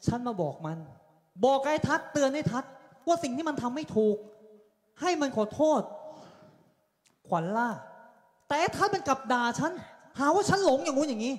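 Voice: high voice, expressive, normal speed, long pauses, big-room sound. A performer playing an older brother who sternly demands an apology for his wife in a comic sketch. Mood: complaining.

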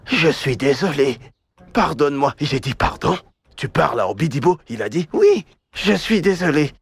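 An actor dubbing a boastful, scornful young man who shouts insults. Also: mid-range voice, breathy edge, heavily acted. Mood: storytelling.

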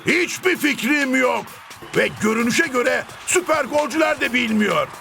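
Very high, bombastic football commentator. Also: male voice, lively intonation, a little slow, gravelly, heavily acted. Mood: astonished. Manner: bombastic, shouting, sing-song emphasis. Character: excitable male TV football commentator. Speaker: sports commentator. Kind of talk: cartoon live match commentary. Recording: music behind the voice.

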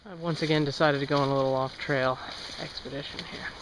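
A man's voice: medium pitch, fairly even intonation, quick pace. A young man narrating his own walk on a travel vlog, chatty and musing, with wry asides. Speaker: travel vlogger. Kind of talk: casual first-person travel narration. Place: outdoors on an overgrown trail and railway line. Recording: outdoor camera audio with clear ambient noise.

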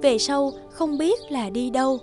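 A woman narrates a scripted folktale in a high voice. Soft music plays beneath her storytelling. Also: measured pace.

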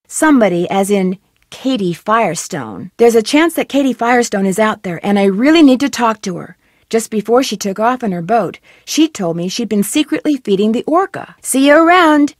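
A woman's voice: a little high, no room sound, clean studio sound. An actress voicing a curious, upbeat young female amateur detective in a video game. She speaks in an inquisitive, friendly, plucky way. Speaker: game protagonist and tutorial guide.

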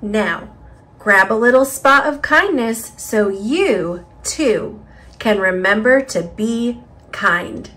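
A woman reading a children's picture book aloud with careful, expressive delivery for young listeners. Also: fairly high pitch, slow. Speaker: storybook reader.

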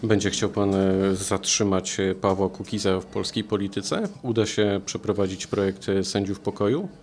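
A man with a fairly low voice puts questions to a politician on a radio show. He stalls often between phrases with small hesitation sounds while building up to his point. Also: fairly even intonation, normal speed, a little mellow, a little hiss or hum. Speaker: radio interviewer.

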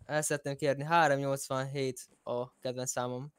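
A man's voice: mid-range voice, level tone, clean tone, dry recording. A man improvising police questioning in a roleplay game, speaking in a polite, official way.

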